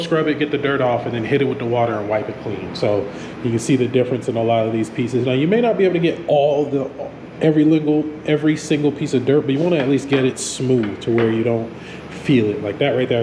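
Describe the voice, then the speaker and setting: A man with a stuffy nose from a cold, hosting a casual how-to walkthrough with lots of ums and restarts. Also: mid-range voice. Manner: relaxed, many ums and restarts. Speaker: auto mechanic channel host. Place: home garage workspace. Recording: echoey room with fan noise.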